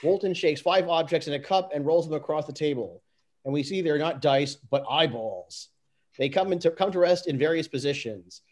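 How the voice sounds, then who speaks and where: A man's voice: fairly high pitch, normal speed, a little mellow. A man reading stage directions aloud in an amateur script narration, often stumbling and restarting. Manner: stumbles and restarts over the text.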